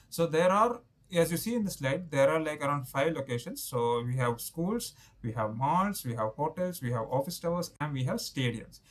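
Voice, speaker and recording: A man's voice: fairly high pitch, no echo. Man lecturing, close dry sound. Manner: methodical, repeats and rephrases points. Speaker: instructor. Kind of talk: explanatory technical lecture over slides.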